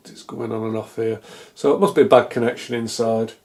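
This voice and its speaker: A middle-aged man with a British accent talks through a hands-on repair in a casual, unscripted way. His voice is relaxed and dark-toned, sitting at an average male pitch. He muses to himself with dry, self-deprecating humour. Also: a little fast. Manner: musing aloud, self-deprecating, dryly humorous. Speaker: electronics repair hobbyist, presenter. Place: small indoor home electronics workshop, at a bench.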